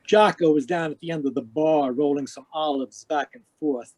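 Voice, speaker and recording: A man playing a hard-boiled, wisecracking private investigator in a 1940s-style radio noir drama, deadpan, clipped and sardonic. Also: on the high side, normal speed, no room sound, natural voice. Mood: world-weary narration. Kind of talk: 1940s radio noir acting with first-person narration. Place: live remote video-call performance.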